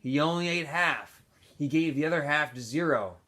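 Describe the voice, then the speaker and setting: A man, a teacher, reading a novel aloud for students, with expressive delivery, small asides and self-corrections. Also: a little high, normal speed. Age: adult.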